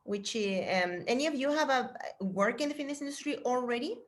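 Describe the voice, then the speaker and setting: A woman's voice: fairly high pitch, lively intonation, brisk. Chatty middle-aged woman from Chile on a video call. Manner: chatty and encouraging, with fillers and restarts. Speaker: fitness trainer hosting a video meeting. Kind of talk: casual chat on a video call.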